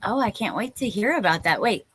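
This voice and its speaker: A woman's voice: mid-range voice, expressive, fairly quick, a bit bright, a little hiss or hum. A woman in her thirties hosting a live stream, chatting informally and exclamatorily with viewers and reading out their comments.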